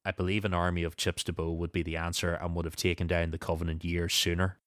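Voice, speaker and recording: A man's voice: sits low, level tone, quick pace, fairly bright tone, no echo, studio quality. A man hosting a podcast, casually recapping and discussing a story with a co-host.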